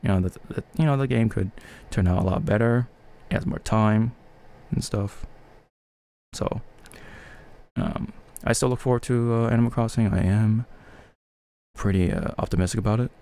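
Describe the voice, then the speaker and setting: Low-voiced, creaky man chatting about games. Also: mellow. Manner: halting, rambling, hedging. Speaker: video game podcast co-host. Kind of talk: casual chat, gaming opinions.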